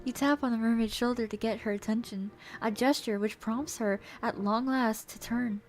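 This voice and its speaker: High-voiced woman narrating a storybook-style game. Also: fairly even intonation, moderate pace, a little mellow. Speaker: streamer reading a game aloud. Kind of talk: theatrical storybook narration of visual novel. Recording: music behind the voice.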